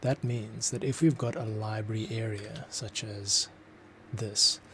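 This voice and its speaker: A man's voice: lowish voice, flat delivery, unhurried, creaky, fairly bright tone, slight background noise. A man, a composer, talking through his scoring process as he works, thinking aloud. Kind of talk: thinking aloud tutorial commentary.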